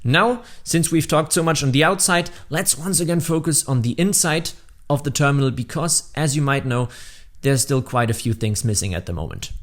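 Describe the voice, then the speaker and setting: A man's voice: a little high, expressive, normal speed. Man narrating a hobby build showcase. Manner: explanatory, addresses viewers directly. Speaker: LEGO builder, video host.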